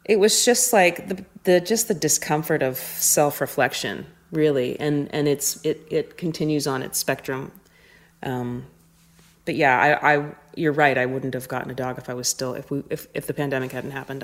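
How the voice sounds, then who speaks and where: A woman with a low voice speaks in a casual, reflective podcast style. She thinks aloud as she goes, often stopping to rephrase and putting questions to herself. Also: moderate pace. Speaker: guest, touring musician.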